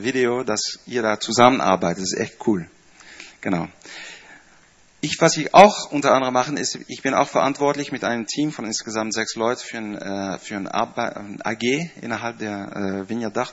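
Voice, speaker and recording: A man in his fifties speaks German with a Dutch and French accent, addressing a congregation in a friendly, rambling way. He pauses often with fillers and small slips. The recording sounds a little dull. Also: on the low side, fairly bright tone. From Netherlands. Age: 50s. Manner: chatty, hesitant with many fillers, small grammar slips. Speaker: church staff member giving a greeting. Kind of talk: informal speech to a congregation.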